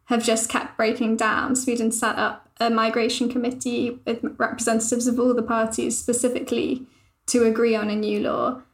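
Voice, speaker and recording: A woman journalist on a podcast, calmly explaining a topic in a thoughtful, measured way. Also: fairly high pitch. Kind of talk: casual podcast discussion. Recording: roomy echo.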